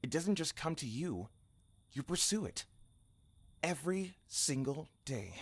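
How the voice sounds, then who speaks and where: A man playing an encouraging, teasing friend in an audio drama, gently probing and wry. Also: fairly high pitch, big pitch swings, a little slow, breathy edge, full character voice. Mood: gentle, encouraging.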